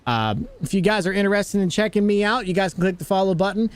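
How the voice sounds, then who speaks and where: A man's voice: sits high, fast, clear, resonant tone. Chatty American man streaming a game. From United States. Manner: chatty, greets viewers, jokey. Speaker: livestream host. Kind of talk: casual livestream chat while gaming.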